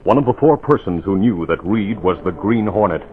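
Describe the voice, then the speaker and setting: A man's voice: low-pitched, expressive, fairly quick, narrow, tinny audio. A man as a radio announcer, narrating a dramatic old-time serial in a theatrical, emphatic manner. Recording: vintage radio recording, limited band, music behind. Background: dramatic music.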